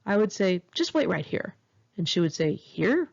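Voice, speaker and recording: Female author-narrator, candid and conversational memoir read, lively intonation, gravelly fry, slightly dull home-recorded sound. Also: lowish voice, normal speed. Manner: candid, wry, profane, conversational. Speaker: author reading own memoir. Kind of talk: memoir audiobook narration with casual asides.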